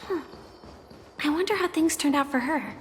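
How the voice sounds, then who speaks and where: A woman's voice: high voice, lively intonation, normal speed, slight background noise. A woman voicing a curious, self-assured young female stowaway in a video game, musing and inquisitive. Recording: background music under the voice.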